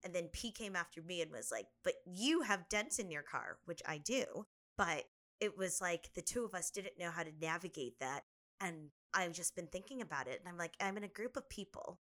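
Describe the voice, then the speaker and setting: A woman's voice: on the low side, lively intonation, normal speed, fairly bright tone. A young woman co-hosting a podcast, telling a personal story in an earnest, reflective way. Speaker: podcast co-host. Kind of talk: casual podcast chat.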